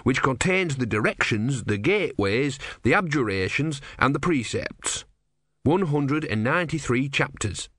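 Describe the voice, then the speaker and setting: A man's voice: mid-range voice, expressive, measured pace, fairly bright tone, no echo, clean studio sound, voice pushed up. A man voicing an earnest, devout young believer who is insistent and easily outraged, in a storybook reading. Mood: indignant. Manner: insistent, indignant, pious.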